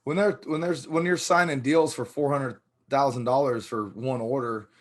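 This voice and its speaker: A man's voice: mid-range voice, fairly even intonation, normal speed. Easygoing middle-aged man hosting. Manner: laid-back, agreeable, laughs easily. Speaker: host. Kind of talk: casual chat.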